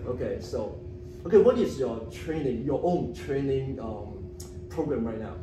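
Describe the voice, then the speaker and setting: A man's voice: mid-range voice, expressive, a little slow, soft background music. Man interviewing in an echoey gym. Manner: short affirmations, simple non-native phrasing. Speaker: host. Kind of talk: casual interview. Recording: echoey gym, far from mic, loud background noise.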